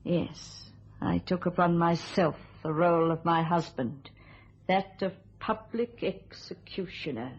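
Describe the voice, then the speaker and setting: A low, gravelly murderous widow. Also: female voice. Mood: intense, triumphant. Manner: deliberate, dramatic confession. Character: cold vengeful murderous widow confessing by letter. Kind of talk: old radio thriller acting. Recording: muffled old radio recording.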